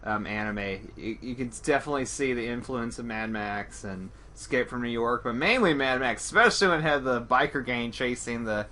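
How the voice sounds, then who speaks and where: A man's voice: mid-range voice, fairly quick, few pauses, sharp tone. A man co-hosting a podcast, talking through a film in a casual, rambling, self-deprecating way. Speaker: podcast co-host.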